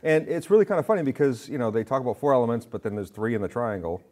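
A middle-aged man chatting casually on a film show in a rambling, halting way, often interrupting himself. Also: medium pitch, animated, darkish tone. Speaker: film discussion show panelist. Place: indoor studio with curtains, seated.

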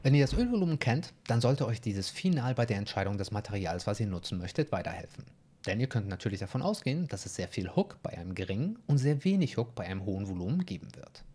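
A man's voice: lowish voice, big pitch swings, fast, a little mellow, breathy edge. A young man teaching bowling in a methodical, technical tutorial, recorded at a desk mic in a small home room. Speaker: bowling coach. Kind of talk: explanatory tutorial. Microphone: desk mic in front.

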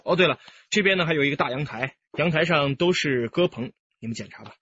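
A man's voice: fairly high pitch, expressive, a bit bright, breathy edge, highs cut off. An actor playing a composed, candid young male music teacher, speaking politely and carefully in a radio drama. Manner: polite, measured, explanatory.

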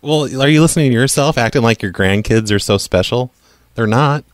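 A man of average pitch talks casually on a prank call. He hesitates and repeats his words as he argues. The sound is close and dry, with no room to it. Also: a little fast, no room sound. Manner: hesitant, stammering, argumentative. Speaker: prank caller, show host.

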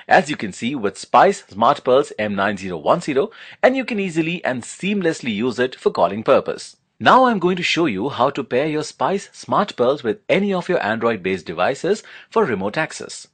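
A man's voice: medium pitch, sing-song, normal speed, hardly any pauses, fairly bright tone, slightly rough. A man narrating a scripted product demonstration, explaining things step by step in an instructional manner.

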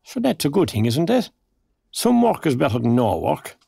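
A man's voice: on the high side, very animated, normal speed, gravelly, dark tone, slightly rough, no room sound, clean studio sound, full character voice. An actor playing a proper, old-fashioned retired Irish working man, older and plain-spoken, in an audio drama. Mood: matter-of-fact. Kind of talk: naturalistic audio drama dialogue.

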